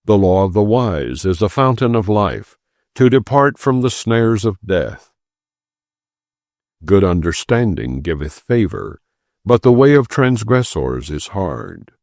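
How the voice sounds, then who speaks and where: A man with a very deep, gravelly voice reads scripture aloud as an audiobook narrator. His delivery is solemn and formal, slow and deliberate, with a good deal of rise and fall in the phrasing. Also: a little mellow, no room sound, clean studio sound. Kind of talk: solemn non-dramatized Bible reading.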